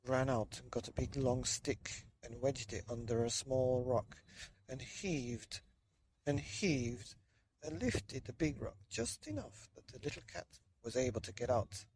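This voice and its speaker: A man reading a children's bedtime story in a loose, dramatic way with drawn-out emphasis. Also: mid-range voice, a little mellow, a little hiss or hum. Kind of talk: children's story narration, partly improvised.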